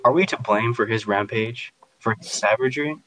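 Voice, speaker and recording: A man's voice: mid-range voice, brisk, bright, forceful tone, dry recording, rough recording. A man hosting in a formal, theatrical way, reading from a dramatic script. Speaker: host.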